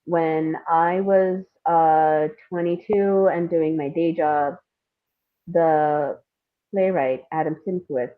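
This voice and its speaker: A woman from India and Singapore chatting casually as an interview guest, telling personal stories in an animated way with frequent laughter. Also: sits low, slow pace. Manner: animated, laughs often while talking. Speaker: podcast guest, playwright and screenwriter. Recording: thin phone-like call audio.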